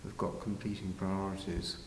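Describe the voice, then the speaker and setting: An older man answering interview questions informally, in a measured, halting way with pauses. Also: low voice, monotone, gravelly. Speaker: interviewee, village pantomime organiser. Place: large village hall, indoors. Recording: very echoey hall, faint noise.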